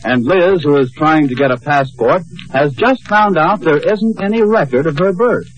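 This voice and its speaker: A man's voice: fairly high pitch, moderate pace, a little hiss or hum. A man announcing and narrating a scripted 1940s radio show. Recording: dull old radio recording, music behind.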